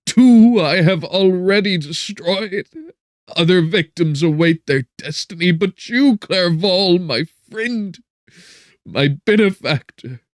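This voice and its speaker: A man voicing an anguished young man in a gothic audiobook, lamenting loudly and theatrically with grief and guilt. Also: sits high, expressive, takes it slowly, no room sound, clean studio sound, pitched above the performer's own voice. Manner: loud, impassioned, theatrical lament. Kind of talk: dramatic gothic audiobook acting.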